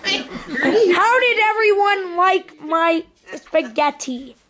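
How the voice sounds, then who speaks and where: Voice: very high voice, extremely slow, background noise, voice pushed up, exaggerated voice. A performer heard as a woman, voicing a cheerful, eager puppet interviewer in playful on-the-spot questioning. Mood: chirpy, eager. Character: cheerful puppet interviewer and camp chef, high-voiced. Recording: chatty crowd behind, amateur camera.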